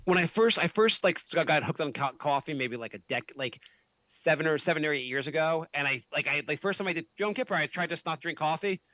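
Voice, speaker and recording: A man hosting a podcast, chatting casually, animated and swearing often with many restarts. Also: on the high side, fast, no echo. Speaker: podcast host. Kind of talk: casual chat.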